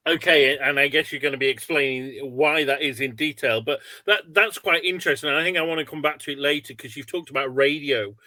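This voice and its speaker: Man, webinar host, higher voice, sharp bright tone, informal and rambling, conversational. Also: a little fast, few pauses, no room sound. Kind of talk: casual chat, informal hosting. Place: online video call.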